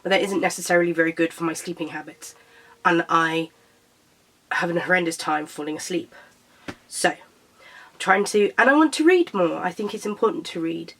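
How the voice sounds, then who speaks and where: A young woman from Britain, a little croaky after being sick, chatting casually to camera about books and tripping over her words. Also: on the low side, moderate pace, long pauses, a bit bright, poor audio. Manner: chatty, self-correcting, stumbles over titles. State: slightly croaky from illness. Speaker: book vlogger.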